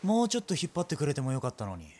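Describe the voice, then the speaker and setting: A very animated, cheeky young samurai. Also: male voice, on the high side, very fast. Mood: playfully complaining. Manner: flippant, casual. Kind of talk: game cutscene acting.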